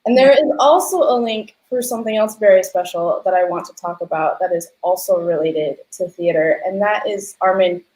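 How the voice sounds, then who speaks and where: A woman's voice: on the low side, normal speed, few pauses, a little mellow. A young woman moderating a panel conversationally, with casual, rambling phrasing. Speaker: panel host. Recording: echoey room on video call.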